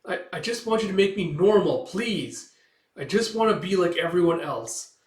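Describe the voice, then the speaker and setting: Man acting out a pleading, high-pitched bullied schoolgirl. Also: very animated, normal speed, a bit bright. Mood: desperate, pleading. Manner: pleading, emphatic. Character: bullied, desperate monstrous schoolgirl, pleading then bitter. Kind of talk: comic book read-aloud. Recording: echoey room.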